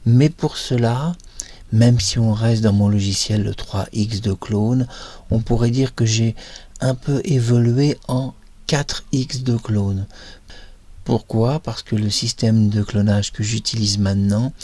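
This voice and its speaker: A man's voice: fairly low pitch, steady tone, takes it slowly, dark tone, no room sound. A man giving an explanatory demo commentary as a presenter, self-assured and emphatic, repeating words to stress them. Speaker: presenter, voice-cloning software creator.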